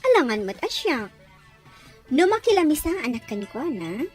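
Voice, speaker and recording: A woman's voice: high voice, big pitch swings, normal speed, a little mellow, faint music behind. A woman with a Filipino accent playing a cheeky, gossipy friend in a melodramatic audio drama. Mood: teasing.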